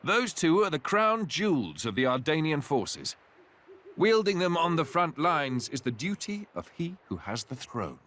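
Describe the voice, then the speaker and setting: An actor playing an affable young prince in a video game cutscene, courteous and thoughtful with a gently wry touch. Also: male voice, on the high side, sing-song, measured pace. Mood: composed. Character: affable young prince, earnest and reluctant to rule. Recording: music behind the voice.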